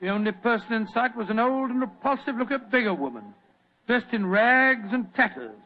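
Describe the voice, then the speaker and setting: An elderly British doctor in an old radio play, pitched high and hesitant. He is genial, old-fashioned and easily flustered. The recording is muffled, with heavy noise. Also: animated, brisk. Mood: storytelling. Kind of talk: vintage radio drama acting and narration.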